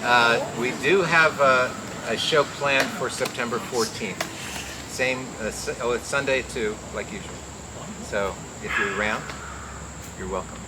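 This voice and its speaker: A male host makes an informal closing announcement to a live audience. He speaks without hurry, and the recording has clear noise behind him. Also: mid-range voice, measured pace. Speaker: show host. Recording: noisy live room recording.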